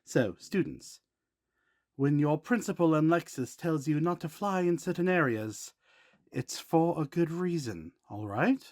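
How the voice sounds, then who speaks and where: A man's voice: fairly high pitch, animated, a little slow, a little mellow. A man playing a playful but protective supernatural grandfather who co-hosts scripted school announcements. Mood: serious, protective.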